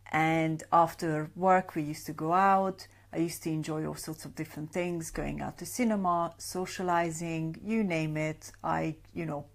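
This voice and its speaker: Gravelly-voiced woman on a live stream. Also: deep voice. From Hungary. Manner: chatty, many fillers, greets viewers by name. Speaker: sleep coach. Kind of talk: Facebook Live talk to a group. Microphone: webcam or phone on a live stream.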